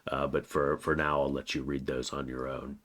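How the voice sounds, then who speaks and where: A man with an extremely low, deep voice talks through a weekly course overview like a college writing teacher. He has a cold, so his voice sounds a little congested. His delivery is plain and steady, with frequent "uh" fillers between phrases. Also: moderate pace, a little hiss or hum. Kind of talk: recorded course overview lecture.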